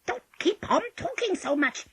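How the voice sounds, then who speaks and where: A woman as a wolf in falsely sweet high voice. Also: big pitch swings, no room sound, clean studio sound, heavily acted. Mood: flustered, irritable. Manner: hurried, irritable. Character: male wolf faking a sweet motherly voice, irritable.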